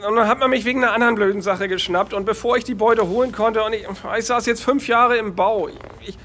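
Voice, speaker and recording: An actor playing a cornered petty ex-convict in a comic radio crime play, stammering and whiny. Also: male voice, high voice, fast, fairly bright tone, a little hiss or hum. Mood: whining, dejected. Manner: stammering, flustered. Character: sheepish cornered petty ex-convict male crook, hapless and whiny.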